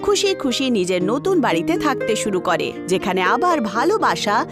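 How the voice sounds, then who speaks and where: A woman's voice: a little high, very animated, a little fast. A woman narrating a scripted cartoon story as its storyteller. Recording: music behind the voice. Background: music.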